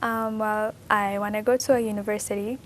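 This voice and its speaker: A teenage exchange student from Ethiopia answering interview questions in a measured, thoughtful way. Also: female voice, medium pitch, level tone, a little hiss or hum. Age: teens. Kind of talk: studio interview. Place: TV studio.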